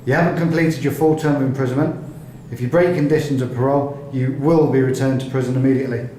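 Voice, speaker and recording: An actor with a Northern Irish accent playing a stern, contemptuous prison officer in a radio play. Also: male voice, medium pitch, fairly even intonation, quick pace, warm tone, close to an everyday voice. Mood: stern, weary. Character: stern, contemptuous male prison officer lording it over inmates. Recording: very echoey room with ambient noise.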